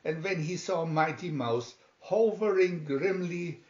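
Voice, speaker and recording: A man reading a children's adventure story aloud as scripted narration, with dramatic, deliberate, emphatic phrasing. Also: a little high, darkish tone. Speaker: reader of children's picture booklets. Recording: dull, echoey home recording.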